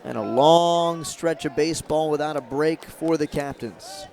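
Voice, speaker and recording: A man doing live radio play-by-play of a baseball game as the announcer, in measured calls with emphasis on key moments. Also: fairly high pitch, creaky, a little mellow. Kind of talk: live radio sports commentary. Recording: crowd and ambient stadium noise behind. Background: ballpark crowd murmur.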